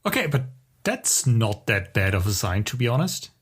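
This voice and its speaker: A man's voice: mid-range voice, big pitch swings, normal speed, slightly rough. A man co-hosting a podcast, talking in a casual conversational style. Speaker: podcast co-host.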